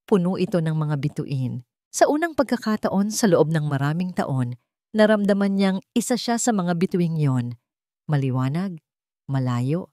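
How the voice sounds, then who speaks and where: A woman's voice: sits low, dark tone, no echo, clean studio sound. A woman reading a scripted story in a dramatic narration style.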